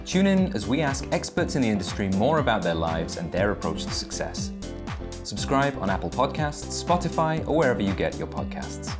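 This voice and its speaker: A man's voice: on the low side, very animated, normal speed. A man reading a scripted podcast introduction as a voice-over. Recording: voice over loud music. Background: music.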